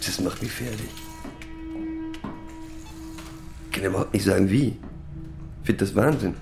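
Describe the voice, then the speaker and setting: A low, gravelly, scornful pub ranter. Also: male voice, darkish tone, faint music behind. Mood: exasperated disbelief. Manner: colloquial, halting, repetitive, scornful. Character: bitter, ranting male pub regular. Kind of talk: naturalistic radio drama monologue.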